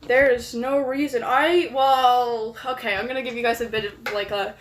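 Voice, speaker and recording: Young woman, Canadian accent, high voice, scattered casual streamer chatter; echoey room. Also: steady tone, normal speed, a little hiss or hum. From England. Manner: chatty and scattered, talking to chat while fiddling with her setup. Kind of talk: casual live-stream chat. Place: small rented room at a desk.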